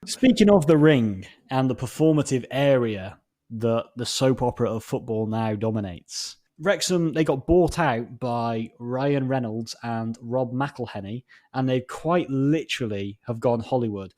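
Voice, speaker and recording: Male co-host, medium pitch, casual discussion with wry, wordy delivery. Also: measured pace, darkish tone. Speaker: podcast co-host.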